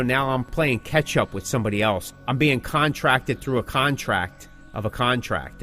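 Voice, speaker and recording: A man talks in an ordinary mid-pitched voice with faint music underneath. He explains things as a podcast co-host would, casually and with clear emphasis. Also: a bit bright.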